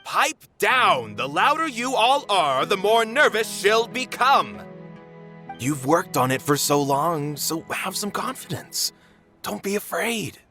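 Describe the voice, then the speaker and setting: An actor playing a protective middle-aged theater manager in a game, firm and reassuring. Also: male voice, high-pitched, big pitch swings, slow pace, pushed and bright, faint music behind, heavily acted.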